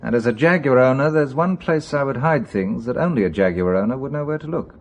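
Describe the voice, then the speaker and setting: An actor playing a self-assured, urbane British spy in a radio thriller, explaining things confidently. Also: male voice, medium pitch, expressive, brisk, close to an everyday voice. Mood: assured, focused. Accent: British English.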